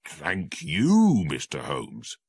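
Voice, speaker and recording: A man's voice: rumbling low, wildly swooping pitch, drawn-out words, no echo, full character voice. A man voicing a grateful male police inspector who speaks earnestly. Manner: earnest, thankful.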